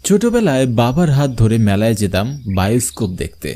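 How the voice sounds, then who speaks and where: A man's voice: mid-range voice, very animated, fast, mellow. A man delivering scripted narration as a storyteller and presenter.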